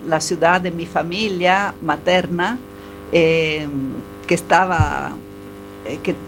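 A woman's voice: on the low side, steady tone, slow pace, noisy background. A woman whose first language is Italian speaks Spanish with an Italian accent. She is a panel guest and halts while searching for words. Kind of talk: personal reminiscence.

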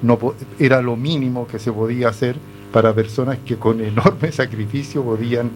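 An older man from Chile with a Chilean accent, speaking as a panel guest in a measured, hesitant way full of fillers. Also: medium pitch, steady tone. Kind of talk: reflective conversation. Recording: music playing underneath.

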